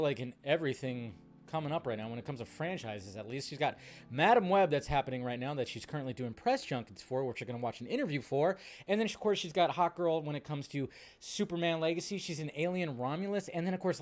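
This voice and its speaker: A man giving casual, opinionated fan commentary as a film news host, emphatic, rambling and slangy. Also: fairly high pitch. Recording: music behind the voice.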